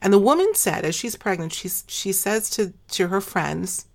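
A woman hosting an interview, hoarse at the end of a long day, who probes her guest and restates what she hears. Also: medium pitch, big pitch swings, normal speed. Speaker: podcast host. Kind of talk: conversational interview.

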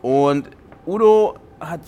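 A man as an animated, grumbling retired ghost hunter. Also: on the high side, slow, muffled recording, a little hiss or hum. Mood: confessing. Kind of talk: improvised audio play.

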